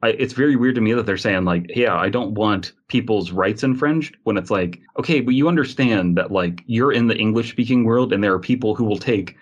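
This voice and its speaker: A man's voice: lowish voice, fairly quick, hardly any pauses, dull audio. A man co-hosting a podcast, talking casually in a rambling, emphatic and opinionated way. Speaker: podcast co-host.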